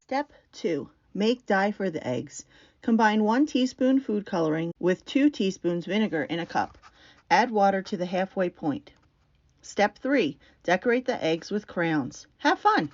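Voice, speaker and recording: Woman, children's read-aloud narrator, lively intonation, unhurried, slightly muffled recording. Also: medium pitch.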